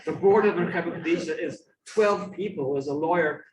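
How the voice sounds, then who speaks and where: A man's voice: on the high side, a little fast. Irish male lecturer, conversational delivery with emphatic repetition, recorded at a distance in an echoing room. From Ireland, grew up in Dublin. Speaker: guest lecturer. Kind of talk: informal lecture. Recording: roomy, distant mic with faint noise.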